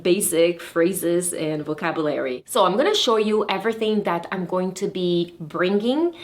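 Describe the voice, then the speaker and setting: A woman, walking through her gear in a casual vlog, careful and a little nervous. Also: on the low side. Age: 40s. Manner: explains carefully, a bit nervous. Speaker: travel vlogger. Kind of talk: casual show-and-tell vlog.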